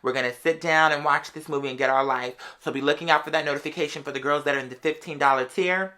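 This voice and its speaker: Bright, animated American vlogger chatting casually. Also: mid-range voice, quick pace. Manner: animated, slangy storytelling. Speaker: online show host. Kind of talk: casual chatty monologue to followers.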